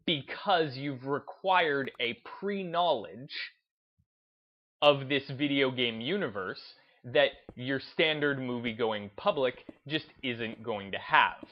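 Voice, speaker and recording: Slow-paced man, emphatic, slightly dull audio. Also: medium pitch. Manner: emphatic, deliberate, stressing points with short declarations. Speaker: gaming video host. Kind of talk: opinionated unscripted commentary.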